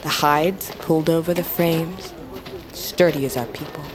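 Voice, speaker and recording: A prickly young butcher woman, proud and stung by old slights, telling of her tribe in measured tones, played by a woman. Her voice sits low, in an audio drama with faint noise behind. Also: fairly even intonation. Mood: storytelling. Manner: terse, resentful, storytelling.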